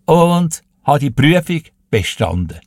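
An elderly Swiss German man in his eighties recounts his family history in an animated, chatty way. His pitch rises and falls a lot, and the studio sound is dry and close. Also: slow, no room sound. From Switzerland. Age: 80s. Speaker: radio show guest and music presenter. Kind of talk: casual interview storytelling.

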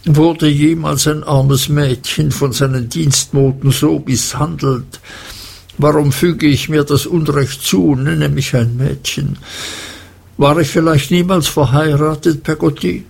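A fretful young widowed mother, pitched high and plaintive, as a male narrator puts her on. The voice is slow and husky with a gravelly edge. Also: fairly even intonation, darkish tone, heavily acted. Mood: indignant.

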